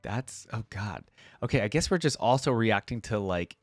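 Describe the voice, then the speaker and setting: A man's voice: fairly low pitch, animated, moderate pace, no room sound, soft background music. A man hosting a reaction video, reading a story aloud expressively and adding casual asides of his own.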